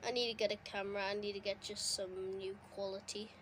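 A young woman giving casual, excitable commentary while playing a console game, her voice going a bit hoarse. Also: mid-range voice, fast talker, a little hiss or hum. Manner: chatty, excitable, reacting to the game. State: losing her voice. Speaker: gaming streamer. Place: indoors at a console. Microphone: phone filming a TV screen.

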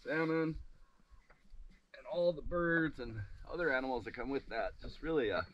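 A man in his thirties, slightly hoarse from a cold, chatting in a relaxed, upbeat way with lots of fillers while filming himself outdoors on a dock by a bay. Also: mid-range voice, normal speed, dull audio, slight background noise. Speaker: sawmill owner vlogging his workday. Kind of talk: casual outdoor vlog. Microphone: action camera held at arm's length.

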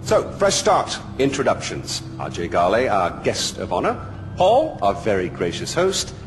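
A volatile, theatrical video game dictator. His low voice lurches wildly up and down as he plays the courteous host with menace. Loud eerie music runs underneath. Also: huge pitch swings, a little slow. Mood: mock-gracious. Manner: theatrical, mock-polite, mercurial. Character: flamboyant, volatile male tyrant playing the gracious host. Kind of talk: video game cutscene acting.

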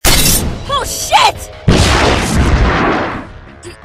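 A woman voicing a timid, hapless young boy, meek and exasperated, in an anime parody dub. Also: very slow. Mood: panicked. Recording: loud music behind.

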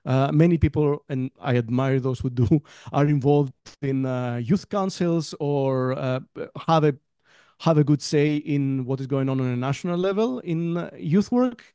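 A man's voice: a little high, normal speed, dark tone, smooth, no room sound, studio quality. A man in his thirties from Greece, talking casually as a guest trainer in a webinar, rambling a little and laughing between phrases. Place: home room, on a video call. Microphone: headset with desk mic.

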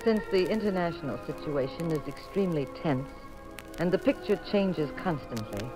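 An actress playing a wry, brisk detective's wife in a 1950s radio mystery. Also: female voice, deep voice, expressive, music in the background, low-quality recording. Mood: narrating. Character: Jean Abbott, sharp-witted detective's wife and storyteller. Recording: old radio recording.

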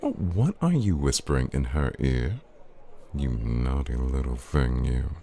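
A suave man, played by a male actor, flirts and teases in an intimate audio roleplay. His voice sits extremely low, with a creaky edge and lively swings in intonation. He talks in an informal, playful, smooth-talking way. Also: unhurried, slight background noise, heavily acted. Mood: flirtatious, playful. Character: suave, teasing, flirtatious man hosting a night out.

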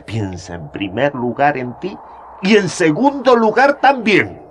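A man's voice: on the high side, very animated, a little slow, a little hiss or hum. An actor playing a worldly, scoffing older male scholar in a radio drama, mocking and laughing as he talks. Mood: dismissive.

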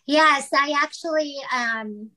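Woman guest from the Philippines, slow and halting with lots of fillers, bright voice, a little high. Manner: hesitant, many fillers and restarts. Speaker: guest, writer and actress. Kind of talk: casual interview conversation.

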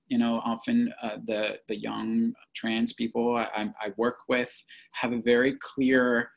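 Creaky-voiced man. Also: mid-range voice. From French-speaking Belgium. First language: French. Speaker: podcast guest, psychotherapist. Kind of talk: reflective interview answers.

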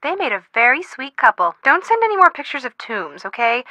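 A woman's voice: sits high, very animated, fairly quick, sharp tone, no echo, exaggerated voice. A woman playing a perky, gossipy young best friend in a video game, playful and teasing. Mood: chirpy, playful. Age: young adult. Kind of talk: video game character dialogue.

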